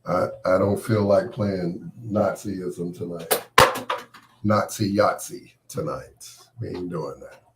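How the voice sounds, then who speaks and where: A man hosting an on-air monologue, talking with a cold and a partly lost voice, irritated and dismissive, his words often trailing off quietly. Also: low voice, fairly even intonation, takes it slowly, very noisy. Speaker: host.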